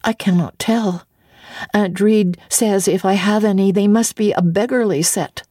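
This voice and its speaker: A woman voicing a timid but proud young orphan girl in an earnest, halting manner, for a storybook reading. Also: mid-range voice, steady tone, dark tone, no room sound, studio quality, pitched above the performer's own voice, close to an everyday voice. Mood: hesitant, disdainful.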